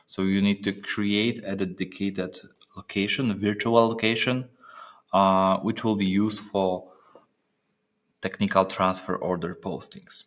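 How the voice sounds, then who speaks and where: A man narrating a step-by-step software training demonstration, speaking haltingly with pauses in mid-phrase. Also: low voice, steady tone. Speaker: software trainer presenting a tutorial.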